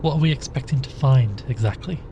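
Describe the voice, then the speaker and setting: An actor playing an earnest young male investigator in an audio drama, narrating and questioning in a measured, deliberate, inquisitive way. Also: medium pitch, noisy background, lightly acted. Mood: curious.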